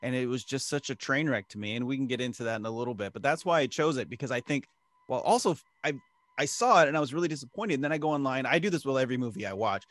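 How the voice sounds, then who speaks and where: Man, podcast co-host, casual joking chat, middle pitch, dry close mic. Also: expressive, very fast, a bit bright, no room sound. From American Midwest. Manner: jokey, puts on silly accents.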